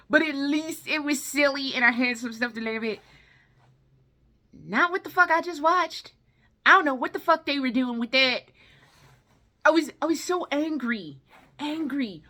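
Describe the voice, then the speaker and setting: High-voiced, blunt sweary woman ranting. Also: bright, forceful tone. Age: adult, no longer young. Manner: sweary, blunt, exasperated, slangy. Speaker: vlogger reviewing films. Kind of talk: casual ranting commentary, chatty.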